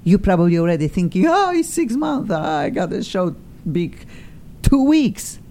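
Gushing rambly lady hosting a talk show, mellow voice, faint rumble behind. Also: a little slow. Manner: effusive, excitable, rambling. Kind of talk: casual chat show hosting.

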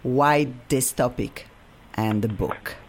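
A woman from Poland with a Polish accent, co-hosting a radio talk show in animated, broken English. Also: very deep voice, takes it slowly, a little hiss or hum. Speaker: co-host.

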